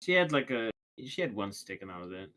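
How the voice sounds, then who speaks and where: A man's voice: lowish voice, sing-song, a little fast, sharp tone. Rambling man reacting on a stream. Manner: rambling, many restarts and "like" fillers. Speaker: watchalong co-host. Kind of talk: casual reaction chat.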